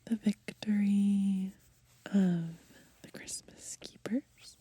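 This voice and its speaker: A woman whispering softly and slowly in a gentle ASMR style, pausing between short phrases as she reads and browses through a book. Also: mid-range voice, fairly even intonation, no room sound. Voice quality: breathy, mostly unvoiced whisper. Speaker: ASMR host. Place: outdoors, lying in grass in the countryside.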